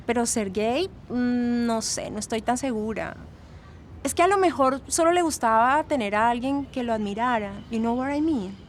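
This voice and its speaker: A woman's voice: a little high, moderate pace, close to an everyday voice. An actress playing a frank, protective young Colombian woman giving casual testimony in an audio drama, dropping in English phrases. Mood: doubtful, disapproving. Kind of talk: documentary-style testimony, scripted audio drama. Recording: voice over faint ambient noise.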